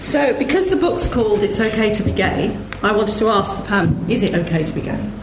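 A woman's voice: mid-range voice, expressive, brisk, crowd noise. A woman chairing a panel at a live event, introducing the speakers in a partly scripted way. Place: indoor event room with audience. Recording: echoey room, slight background noise.

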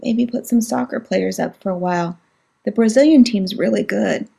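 A woman voicing an earnest, timid young boy who speaks quietly and slowly to his older sister. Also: mid-range voice, gravelly, husky. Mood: sleepy.

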